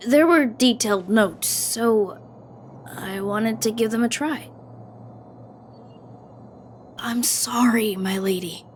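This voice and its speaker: A woman's voice: fairly high pitch, slow, pauses often, slight background noise. A woman voicing a dutiful, polite young male guard in an anime-style video game, hesitant, formal and anxious. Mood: apologetic, subdued.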